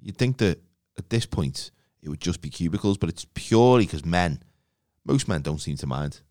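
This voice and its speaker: A man's voice: low voice, expressive, moderate pace, a little mellow, no echo, studio quality. A man with a Liverpool accent, co-hosting a podcast, telling rambling, jokey stories in casual chat. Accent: Liverpool English. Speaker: podcast co-host.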